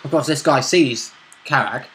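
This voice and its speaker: A man chatting casually as a player co-hosting a tabletop game recap, jokey and quick to chip in. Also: medium pitch, bright, forceful tone, slight background noise. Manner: jokey, interjecting. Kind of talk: casual banter.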